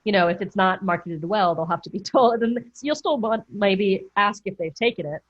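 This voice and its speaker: A woman's voice: mid-range voice, lively intonation, normal speed. A woman mentor giving chatty, encouraging coaching feedback on a video call, often correcting herself mid-thought. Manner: chatty, encouraging, thinks aloud with self-corrections. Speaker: business mentor running a group coaching call. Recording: dull, muffled video call audio.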